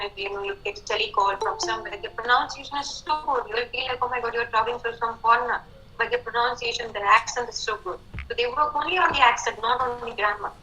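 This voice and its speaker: A woman's voice: a little high, pushed and bright. A woman giving a talk on language teaching methods, with a lot of conversational "you know" fillers. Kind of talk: lecture to educators. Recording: tinny phone-line sound.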